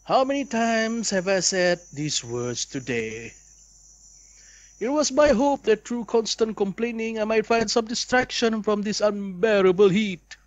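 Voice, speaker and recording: A man's voice: high-pitched, very animated, unhurried, no room sound. A young man reads a visual novel aloud as a let's play host, with dramatic expression and asides.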